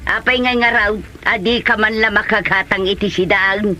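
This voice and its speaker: Man acting as nagging mother, Ilocano accent, very high voice, complaining, comedy drama, faint noise. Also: fairly even intonation, moderate pace, bright, forceful tone. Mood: scolding, exasperated. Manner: scolding, complaining. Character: nagging, disappointed mother of a grown son.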